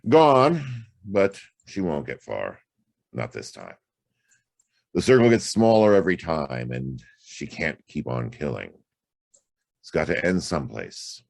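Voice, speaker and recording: A hard-boiled detective played by a male actor from a noir audio drama. The voice sits extremely low and crackles with gravel, close and dry. He is laconic and wry, and his delivery moves widely in pitch. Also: big pitch swings, measured pace, pauses often, a little mellow, no room sound, full character voice. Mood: grim, resigned. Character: world-weary hard-boiled private detective, adult man. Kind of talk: noir radio drama acting and first-person narration.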